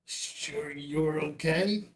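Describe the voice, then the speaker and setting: An actor at a screenplay table read playing an older, earnest, bereaved small-town father who speaks slowly and deliberately. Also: a little high, poor audio. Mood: fond, reflective.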